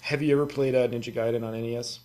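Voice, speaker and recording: Male podcast co-host, average pitch, loose informal gamer chat with plenty of swearing. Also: steady tone, very fast, a little mellow. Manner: informal, profane. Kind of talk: casual gaming chat.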